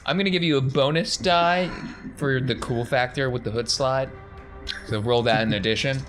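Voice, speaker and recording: A man running a tabletop roleplaying game as game master, narrating off the cuff in a conversational, excitable way. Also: medium pitch, normal speed, over music. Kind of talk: improvised game narration, casual banter.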